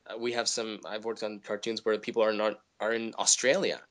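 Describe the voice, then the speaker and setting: Bright-voiced energetic male voice actor. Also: fairly low pitch, brisk. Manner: energetic, animated storyteller who slips into character voices. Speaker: voice actor guest. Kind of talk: casual interview chat.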